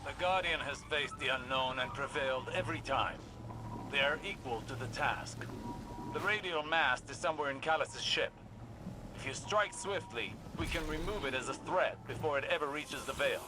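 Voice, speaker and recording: A stern older warrior-scholar, acted by a man gives orders in a video game. His voice is fairly high for a man, exacting and formal, with clear noise behind it. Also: moderate pace, close to an everyday voice. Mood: commanding. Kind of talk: video game mission briefing dialogue.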